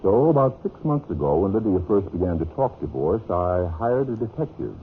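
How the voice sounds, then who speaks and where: Genial but pointed husband, radio drama, swingy low voice on a muffled old recording. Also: male voice, sing-song, a little fast, slight background noise. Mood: grave, accusing. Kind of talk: 1950s radio drama acting.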